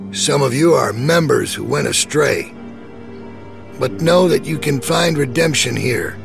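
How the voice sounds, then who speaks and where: A menacing middle-aged community leader in a video game drama. He preaches in a measured, folksy way, with a gravelly rasp and lively intonation, and music plays behind him. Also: fairly high pitch. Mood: stern, preachy.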